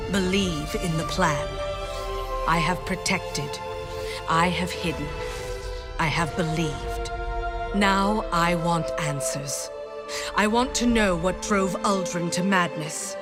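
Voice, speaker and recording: An actress playing a solemn, determined warrior in a video game, with a measured and grave delivery. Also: female voice, fairly low pitch. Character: solemn, determined female warrior devoted to her queen. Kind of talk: dramatic video game acting. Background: loud music.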